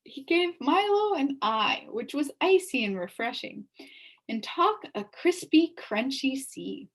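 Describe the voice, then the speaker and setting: A woman reading a children's novel aloud with expressive, animated storytelling. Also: sits high, slow. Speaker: storybook reader.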